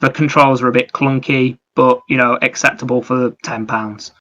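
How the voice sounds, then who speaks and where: Guy on a gaming podcast, mid voice, kinda flat pitch, rambles through stories. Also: moderate pace, muffled recording. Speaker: podcast host. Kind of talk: casual chat about video games.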